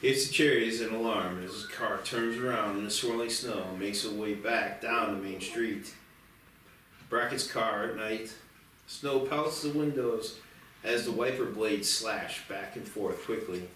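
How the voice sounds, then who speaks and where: A man reading screenplay stage directions in a deliberate, dramatic narration. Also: lowish voice, a bit bright, a little hiss or hum. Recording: very echoey, distant mic, music behind.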